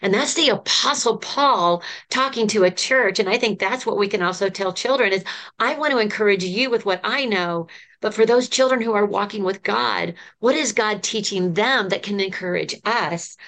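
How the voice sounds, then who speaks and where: A woman's voice: medium pitch, normal speed, fairly bright tone. A woman in her fifties, a children's ministry professor, telling stories in an earnest, enthusiastic way as a podcast guest. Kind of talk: podcast conversation.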